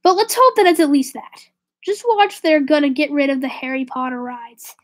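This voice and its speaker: High-voiced streamer, raspy with a cold. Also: female voice, lively intonation, normal speed. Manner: rambling, self-correcting, drifts to a whisper. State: slightly raspy, stuffy nose from a cold. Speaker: live streamer. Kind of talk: casual live stream chat, answering viewers.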